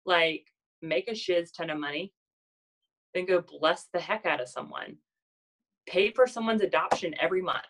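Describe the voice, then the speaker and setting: A woman's voice: fairly low pitch, normal speed, pauses often, a bit bright. A woman in her thirties with a nasal voice, giving a casual motivational talk to her sales team and often asking "does that make sense?". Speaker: network marketing team leader.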